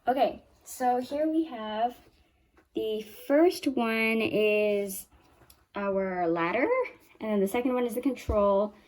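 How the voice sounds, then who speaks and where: A 12-year-old girl with a squeaky, high voice talks her way through a home science experiment. She chats along, correcting herself as she goes. She sounds set back from the microphone in a small room. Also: slow, darkish tone. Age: 12 years old. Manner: chatty, self-correcting, talks through each step. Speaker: young science channel host. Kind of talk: casual hands-on lab demo. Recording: voice from a distance off the mic.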